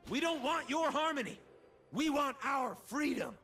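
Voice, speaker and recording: A man's voice: extremely high pitch, moderate pace, sharp tone, soft background music, full character voice. A man voicing a cocky, flamboyant bird-man warrior in a video game, vain and mocking, with a theatrical, teasing delivery. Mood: grave defiance. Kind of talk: video game character voice lines.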